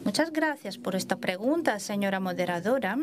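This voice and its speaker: A woman's voice: mid-range voice, expressive, a little fast, crowd noise. A woman working as a live simultaneous interpreter at a forum, hesitant, with many fillers and restarts.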